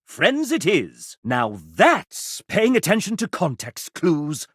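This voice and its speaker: Video game role: theatrical, gushing dating-show host, hugely animated delivery, close dry studio sound. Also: male voice, sits high, takes it slowly, slightly rough, dry recording, heavily acted. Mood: dramatic verdicts. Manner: showy, arch announcer delivery with dramatic swoops. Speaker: game host character announcing dating results.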